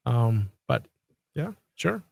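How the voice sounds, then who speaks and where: A man from Colombia speaks in an unhurried, thoughtful way in a casual podcast chat, his voice at an ordinary middle pitch. The sound is dry and close, with no room around it. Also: big pitch swings, gravelly, darkish tone, no echo. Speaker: podcast host. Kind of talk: casual conversation.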